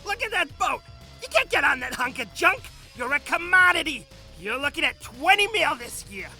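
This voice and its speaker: A woman's voice: high-pitched, big pitch swings, normal speed, sharp tone, faint music behind, full character voice. A woman playing a pushy, exasperated Hollywood agent pleading in a comic dub.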